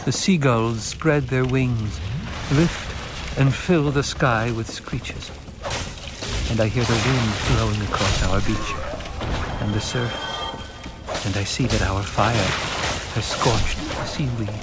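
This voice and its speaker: A man voicing a tender, anxious mother in an audiobook, speaking in a gentle and careful way. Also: mid-range voice, takes it slowly, long pauses, over loud music, natural voice. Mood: wistful.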